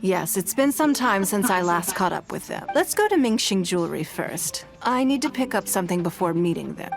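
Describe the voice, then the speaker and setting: A woman's voice: mid-range voice, big pitch swings, moderate pace, gravelly, over music. A woman voicing a poised, gracious and wealthy woman of influence in a video game, speaking formally and articulately. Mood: composed, assured.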